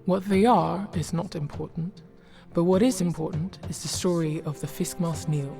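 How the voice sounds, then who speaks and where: Female storybook narrator, low-pitched and measured, over prominent music. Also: steady tone, creaky. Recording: loud music behind.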